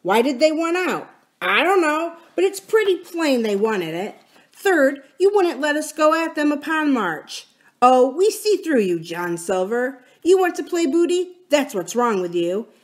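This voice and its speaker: A woman voicing an accusing, mutinous male pirate crewman in a storybook, with blunt, rough sailor talk. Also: sits high, big pitch swings, a little slow, pitched above the performer's own voice. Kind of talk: dramatic storybook character reading.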